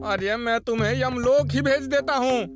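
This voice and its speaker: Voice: mid-range voice, normal speed, lightly acted. An actor voicing a suspicious, bullying cartoon man in a drawling, mocking, theatrical manner. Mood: threatening. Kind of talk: exaggerated Hindi cartoon voice acting. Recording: loud music behind.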